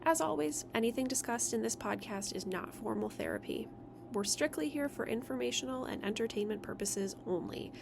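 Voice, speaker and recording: A woman's voice: on the high side, fairly quick, creaky, noisy background. A young adult woman therapist hosting a casual solo podcast, chatty and self-deprecating with frequent small laughs, her nose stuffy from allergies.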